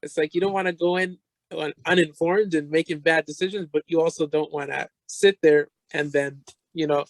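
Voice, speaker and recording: Male co-host, fairly high voice, agreeable, dry close sound. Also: fairly even intonation, no echo. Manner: agreeable, quick affirmations.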